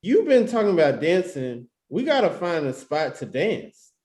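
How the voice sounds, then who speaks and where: Man acting a high-voiced, cheeky party friend. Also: sing-song, normal speed, darkish tone. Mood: wry. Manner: casual slangy talk. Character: cheeky, party-pushing male wedding friend. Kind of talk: naturalistic comedy table read.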